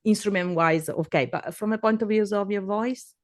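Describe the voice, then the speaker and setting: A woman's voice: mid-range voice, normal speed. A woman hosting a casual interview, chatty and eager, who trails off and restarts her questions as she asks them. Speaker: podcast host.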